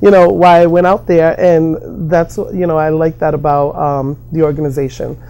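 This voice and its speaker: A middle-aged American woman answering informally in an interview, halting and often repeating her phrases. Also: low-pitched, level tone, vocal fry, warm tone. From New York and Massachusetts, United States. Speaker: interviewee, community worker.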